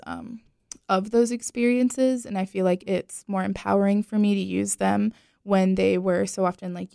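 A young woman from the rural Carolinas talks through personal memories in an unhurried, halting way, stopping often to search for words. Her voice has a gravelly edge yet stays smooth and clear. It is recorded close and dry. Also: medium pitch, a little mellow, no echo. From rural North and South Carolina, US. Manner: hesitant, many filler pauses. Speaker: discussion participant. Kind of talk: casual personal storytelling.

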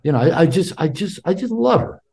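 Animated older man, lively pitch. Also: fairly quick, vocal fry. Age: 60s. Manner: animated, repeats words when starting sentences. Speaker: podcast guest, author. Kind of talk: casual interview talk. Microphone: call.

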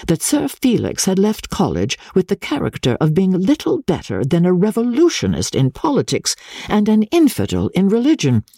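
A woman with a low, husky voice gives a dramatic reading of classic literature, with deliberate phrasing and formal diction. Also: warm tone, no echo, studio quality. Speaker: audiobook narrator and radio reader.

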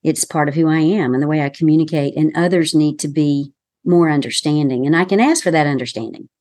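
A woman with a softened Southern American accent, a communication consultant giving measured, explanatory advice in an interview. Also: deep voice, dry recording. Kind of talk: professional podcast interview.

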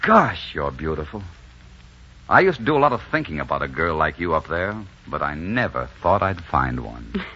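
An actor playing a rugged, bold adventurer smitten with love, in dramatic, romantic 1940s radio style. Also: male voice, extremely low pitch, very animated, normal speed, bright, forceful tone, slightly rough, heavily acted. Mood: eager, smitten. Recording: dull 1940s radio recording with music.

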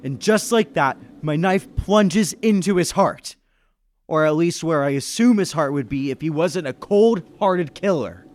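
A man playing an anxious businessman who narrates a murder mystery in deliberate, dramatic 1930s radio suspense style. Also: a little high, lively intonation, slight background noise. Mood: tense narration.

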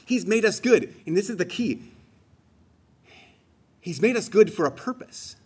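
A man's voice: high voice, expressive, measured pace, a little mellow, breathy edge. A man giving a homily in a conversational, emphatic way, rising on key phrases. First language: English. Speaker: preacher.

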